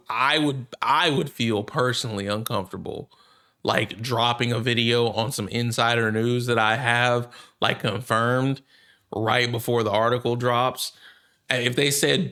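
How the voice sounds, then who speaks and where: A man giving casual, off-the-cuff gaming news commentary as a host, rambling and halting as he goes. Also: medium pitch, fairly bright tone.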